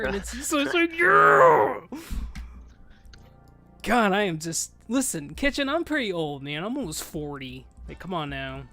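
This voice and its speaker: A man in his thirties chatting casually while streaming a game with friends, loud and excitable and swearing freely. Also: sits high. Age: 30s. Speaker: streamer leading a game group. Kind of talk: casual live gameplay chat.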